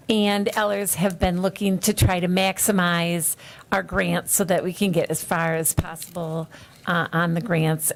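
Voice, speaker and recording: A woman's voice: on the low side, gravelly. A woman speaking at a city council meeting, explaining plans casually with many fillers. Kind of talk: discussion at a public meeting.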